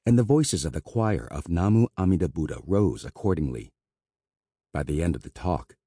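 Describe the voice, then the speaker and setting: A man reading an audiobook as narrator, in measured, even scripted narration, close to a studio mic. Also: low voice, slightly rough, dry recording.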